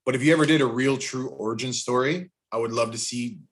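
A man's voice: medium pitch, fast talker, slightly rough. A man from Canada bantering casually as a podcast panelist.

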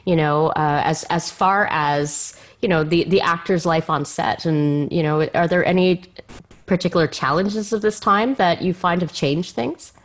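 A woman's voice: lowish voice, measured pace. A woman hosting a conversational interview, circling tentatively toward her questions. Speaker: podcast host.

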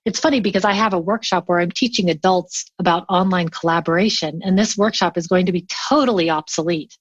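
A woman's voice: on the low side, fast, breathy edge, no room sound, dull audio. A woman chatting casually as an interview guest, telling things in an enthusiastic, anecdotal way. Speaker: remote collaboration workshop teacher.

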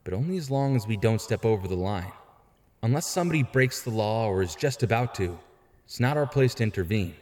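An actor playing a frank, principled younger vigilante in an audio drama, earnest and articulate. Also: male voice, fairly low pitch, expressive, a little fast, darkish tone, natural voice. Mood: earnest argument. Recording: long hall reverb.

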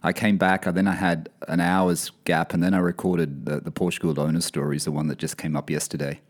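Croaky deep-voiced man hosting a podcast. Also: brisk. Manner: relaxed, rambling, many fillers. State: croaky, coming down with something. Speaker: podcast host. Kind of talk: casual podcast chat. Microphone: podcast mic over video call.

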